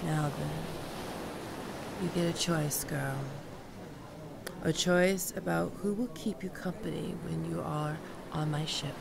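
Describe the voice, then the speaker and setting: A woman playing a commanding, mocking and seductive female pirate captain in an audio roleplay, with theatrical, teasing, drawn-out menace. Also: deep voice, fairly even intonation, vocal fry, noisy background, exaggerated voice. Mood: menacing, appraising. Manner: theatrical, teasing, drawn-out menacing delivery with archaic turns of phrase. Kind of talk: ASMR roleplay acting.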